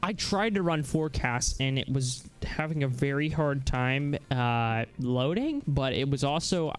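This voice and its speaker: A man hosting a casual live software demonstration, talking haltingly as he thinks aloud. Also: mid-range voice, animated, clear, resonant tone, faint music behind. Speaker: live stream host.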